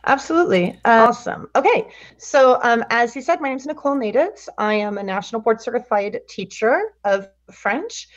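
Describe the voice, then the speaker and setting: A middle-aged woman from California, a teacher and guest speaker, explaining her career in a friendly, measured way over a video call. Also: on the high side, no room sound, muffled recording. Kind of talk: live online interview presentation.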